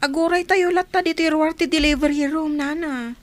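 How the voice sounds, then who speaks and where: A woman acts an earnest young villager fretting through a radio drama. The voice is high and plaintive, with an Ilocano accent. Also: fairly even intonation, fairly quick, lightly acted. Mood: worried. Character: worried young village companion waiting at the hospital.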